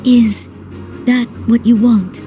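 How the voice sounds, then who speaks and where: An actress playing a spirited, determined young heroine in a video game, sisterly, teasing and earnest. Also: female voice, fairly high pitch, steady tone, takes it slowly, dark tone, soft background music. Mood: tender, determined. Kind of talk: dramatic video game voice acting.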